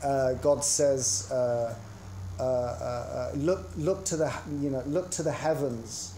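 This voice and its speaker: A man with an English accent gives an academic conference talk, speaking in a reflective, hesitant and halting way. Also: medium pitch, steady tone, gravelly, dark tone, a little hiss or hum. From England. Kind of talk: academic talk on religion, partly improvised. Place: indoor conference presentation.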